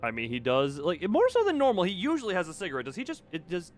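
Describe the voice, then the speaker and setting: A man's voice: high voice, huge pitch swings, fast. A man livestreaming a game, commenting casually and thinking aloud in a rambling way as he goes. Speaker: game streamer. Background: game music.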